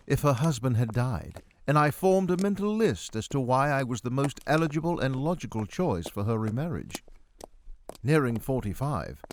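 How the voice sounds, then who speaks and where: A man delivers theatrical, first-person story narration in the role of a miserly, befuddled doctor. His literary phrasing is highly expressive, with pitch that moves widely. Faint noise sits behind the voice. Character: miserly, befuddled 45-year-old male doctor recounting his own tale.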